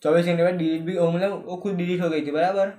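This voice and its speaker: A man's voice: sits high, steady tone, fast talker, mellow. A young man arguing confrontationally and cutting in during a heated phone exchange. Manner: confrontational, interrupting. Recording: echoey room.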